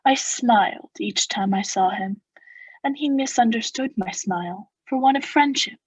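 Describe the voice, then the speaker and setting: A young woman playing a coldly vengeful noble who feigns friendship, in a measured, formal, insinuating theatrical radio-play style. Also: fairly high pitch, breathy edge, no echo, low-quality recording. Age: young adult. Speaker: student actor.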